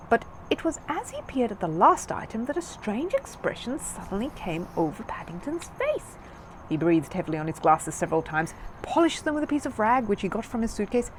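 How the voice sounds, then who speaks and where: A woman's voice: mid-range voice, slight background noise, rough recording. A woman narrating a children's story from a script as a storyteller.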